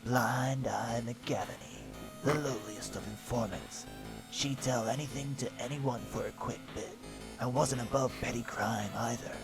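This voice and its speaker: A man voicing a self-important, dim hardboiled private eye, narrating a deadpan film noir parody in a melodramatic way. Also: mid-range voice, measured pace, gravelly. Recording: music behind the voice. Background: background music.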